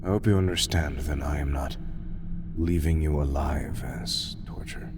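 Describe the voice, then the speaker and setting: A man playing a weary, proud, grieving god in a fantasy audio roleplay, solemn and formal, often breathy. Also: a bass voice. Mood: weary, mournful. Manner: solemn, measured, formal archaic phrasing. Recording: clearly noisy, ambient background sound.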